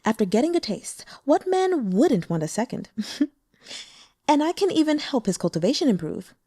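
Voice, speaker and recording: A woman's voice: fairly high pitch, very animated, moderate pace, mellow, breathy edge, no room sound, studio quality, voice pushed up. A woman voicing a coy, teasing demonic seductress. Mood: sly.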